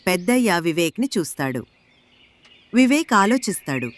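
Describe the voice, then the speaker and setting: Female narrator for scripted folk fable; animated intonation, faint noise in the background. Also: fairly low pitch, normal speed. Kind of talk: scripted moral story narration.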